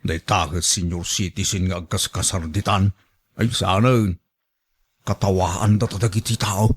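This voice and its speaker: A man's voice: low voice, steady tone, normal speed, husky. Bossy elderly Ilocano man voiced by an actor. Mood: grumbling. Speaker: radio drama voice actor. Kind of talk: Ilocano radio drama acting.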